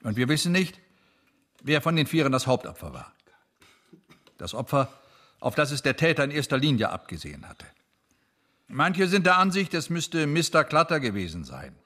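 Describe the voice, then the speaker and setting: An actor playing a determined lead police investigator in a radio drama, deliberate, factual and authoritative. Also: male voice, mid-range voice, fairly bright tone.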